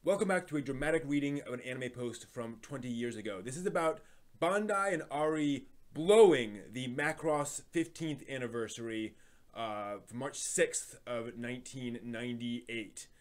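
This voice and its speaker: A man's voice: mid-range voice, moderate pace. A man hosting a livestream, talking casually with a hesitant, rambling way of explaining things. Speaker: livestream host.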